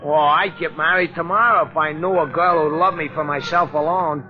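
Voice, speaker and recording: An actor playing an easygoing, wisecracking police sidekick with a slangy, folksy manner in a 1940s radio drama. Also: male voice, fairly high pitch, fairly even intonation, moderate pace, lightly acted. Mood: breezy joking. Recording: muffled, noisy 1940s radio recording.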